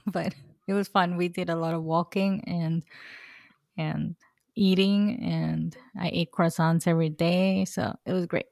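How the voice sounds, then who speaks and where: A woman's voice: fairly low pitch, level tone, a little slow, vocal fry, darkish tone, dry recording, studio quality. A woman co-hosting a casual podcast, chatty and laughing often. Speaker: podcast co-host.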